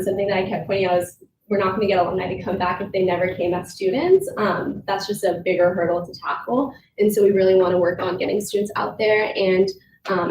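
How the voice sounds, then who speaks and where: Congested young woman, echoey meeting room. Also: mid-range voice. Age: young adult. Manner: chatty, many "um"s and "kind of". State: congested, sick. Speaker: student government vice president. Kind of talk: formal meeting report. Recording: echoey room, far from mic, noisy.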